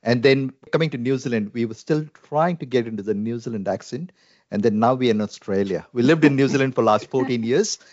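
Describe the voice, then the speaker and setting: A man's voice: mid-range voice, fairly quick, a little mellow, no echo, dull audio. A man from India with an Indian English accent, a Salvation Army minister talking earnestly and reflectively, with frequent fillers. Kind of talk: conversational interview.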